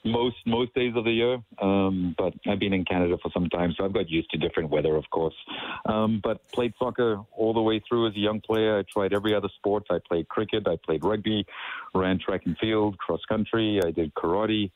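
A man's voice: fairly low pitch, a little fast, no room sound. A man from South Africa with a South African accent, a soccer coach giving an earnest phone interview, full of fillers and repeats. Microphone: phone line. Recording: tinny dry phone line.

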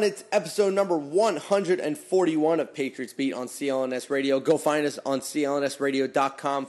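A man hosting a podcast with an excited, hyperbolic sports recap, often stumbling into emphatic restarts. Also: on the high side. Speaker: podcast host.

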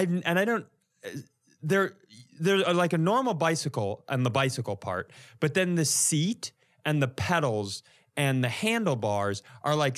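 A man's voice: fairly high pitch, a bit bright. A man co-hosting a podcast, telling casual comic stories in a blunt, exasperated, sweary way. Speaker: podcast co-host. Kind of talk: casual comedic storytelling.

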